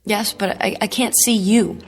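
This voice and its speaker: A woman's voice: mid-range voice, fairly even intonation, normal speed, slightly rough. An actress playing a defiant, idealistic young woman in a radio drama, earnest and questioning as she protests. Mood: uneasy, defiant. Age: young adult. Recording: music bed under voice.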